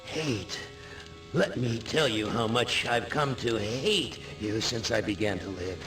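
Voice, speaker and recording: An actor playing a sentient supercomputer that hates humanity, in a deliberate, menacing dramatic monologue. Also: male voice, medium pitch, close to an everyday voice, poor audio. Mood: cold hatred. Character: sentient supercomputer seething with cold hatred for humanity. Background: music.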